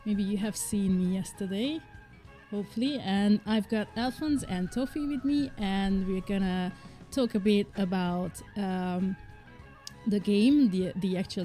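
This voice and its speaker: Hungarian-born woman dev chatting on stream, mellow voice, faint game music behind. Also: mid-range voice. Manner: chatty, with frequent fillers and light laughs. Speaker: indie game developer. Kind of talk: casual livestream chat. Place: home, living in the UK.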